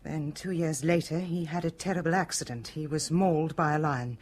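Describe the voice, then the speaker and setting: An actress with a British accent playing a bitter, fatalistic wife in a radio drama, guarded and weary. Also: female voice, deep voice, moderate pace, darkish tone. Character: brittle, bitter, fatalistic wife of a cruel husband.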